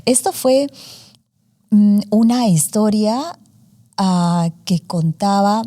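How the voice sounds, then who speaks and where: A woman's voice: medium pitch, animated, drawn-out words, warm tone. A woman in her forties, a student journalist, talking through her story in a casual interview with many fillers and English words mixed in. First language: Spanish. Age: 40s.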